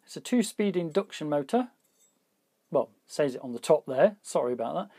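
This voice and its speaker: Slightly husky man talking through hands-on work. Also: on the high side, lively intonation, moderate pace, warm tone, dry recording, clean studio sound. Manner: informal, thinking aloud while working. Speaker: repair hobbyist presenting a video. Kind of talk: casual hands-on repair commentary.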